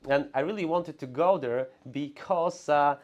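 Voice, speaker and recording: A man hosting an interview, speaking haltingly with frequent fillers and restarts. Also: fairly high pitch, dull audio. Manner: hesitant, halting, full of "uh". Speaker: host. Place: clinic in Warsaw.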